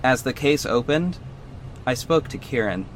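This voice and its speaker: An actor playing an earnest superhero who narrates his own story in a measured, serious audio drama style. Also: medium pitch, animated, slight background noise, natural voice. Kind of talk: scripted first-person audio drama narration.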